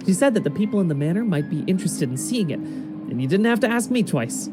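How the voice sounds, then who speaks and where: A performer playing an earnest, gentle, optimistic young male salesman in a video game. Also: mid-range voice, animated, quick pace, over music, close to an everyday voice. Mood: hopeful. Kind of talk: video game character acting.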